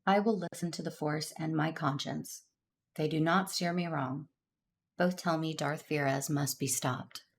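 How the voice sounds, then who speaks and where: A woman playing a solemn, conflicted but resolute Jedi warrior in an audio drama, speaking in a measured, earnest way. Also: low voice, fairly even intonation, slight background noise. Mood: cold, resolute. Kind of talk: first-person narration and dialogue in audio drama.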